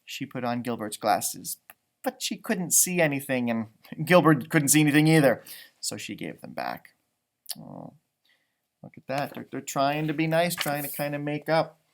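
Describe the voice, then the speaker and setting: A man reads a children's storybook aloud in an animated, teacherly way. He slips into casual, encouraging asides and stretches his words for young listeners. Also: medium pitch, normal speed, gravelly.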